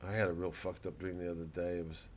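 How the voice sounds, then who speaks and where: A man's voice: low-pitched, level tone, fast, slight background noise. A man, a comedian, talking casually to camera in a rambling way, with stammering restarts and free swearing.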